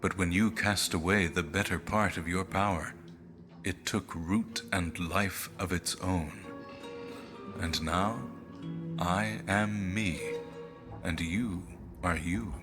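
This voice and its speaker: Deep, clinical otherworldly spirit. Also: male voice, steady tone, over music. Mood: calm, explanatory. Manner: measured, formal, detached. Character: calm clinical spirit of cast-away power, a killer. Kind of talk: visual novel voice acting.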